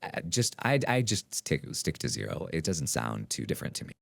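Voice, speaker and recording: A man speaking as a deep male voice through an AI voice changer in a casual software tutorial. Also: sing-song, brisk, gravelly, dry recording, clean studio sound, full character voice. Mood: explanatory, casual.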